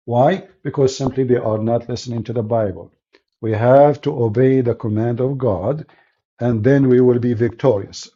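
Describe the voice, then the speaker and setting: Blunt mocking host, dark muffled voice. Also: male voice, medium pitch, breathy edge. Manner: blunt, mocking, non-native English. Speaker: host of call-in debate show. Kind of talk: combative call-in debate.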